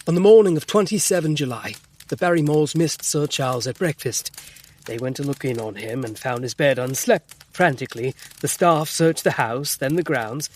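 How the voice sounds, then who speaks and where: An actor playing an earnest, excitable gentleman doctor in an audio drama, formal and emphatic as he tells his tale. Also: fairly high pitch, breathy edge. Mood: grave recounting. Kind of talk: full-cast audio drama acting.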